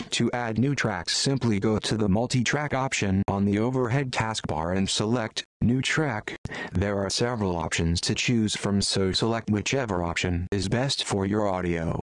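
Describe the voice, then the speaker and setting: A man reading scripted instructional narration for a tutorial in a measured, step-by-step way. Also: fairly low pitch, dry recording. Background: background music.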